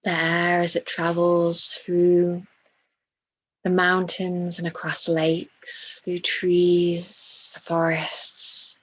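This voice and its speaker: A woman leading a guided meditation in a soft, breathy voice, soothing and hushed, with long pauses between phrases. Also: on the low side, completely flat delivery, low-quality recording.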